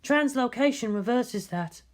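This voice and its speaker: A narrator voicing a cool, matter-of-fact female scientist in an audiobook story, explaining in a measured way. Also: mid-range voice, expressive, pitched above the performer's own voice, lightly acted. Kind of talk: dramatic audiobook character voice.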